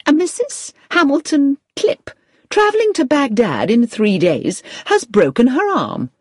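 A woman voicing a fussy, excitable female employment agency manager in a storybook, speaking effusively and emphatically. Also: on the high side, measured pace, dry recording, clean studio sound, pitched above the performer's own voice. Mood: excited.

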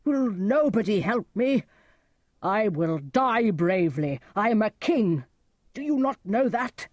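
A woman voicing a mad old king, proud and indignant, declaiming loudly in a storybook reading. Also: mid-range voice, animated, takes it slowly, no echo, clean studio sound, pitched above the performer's own voice. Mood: indignant, frantic.

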